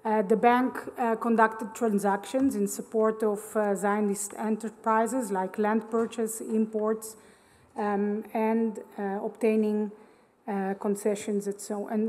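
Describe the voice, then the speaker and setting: A middle-aged woman from Israel giving a conference talk, hesitant and full of ums and uhs, on a stage microphone. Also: on the high side. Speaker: conference speaker. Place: large conference hall. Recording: long hall reverb.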